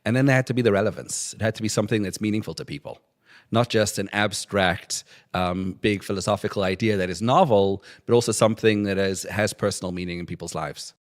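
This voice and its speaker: A man's voice: fairly low pitch, brisk. A man, an author, answering interview questions and setting out his points one by one.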